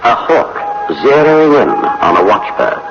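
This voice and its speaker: An actor playing an urgent, dramatic narrator in a 1960s science fiction radio drama. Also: male voice, medium pitch, sing-song, unhurried, loud music behind the voice. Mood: ominous. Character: urgent, forceful male narrator of machine warfare. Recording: muffled old radio, faint noise.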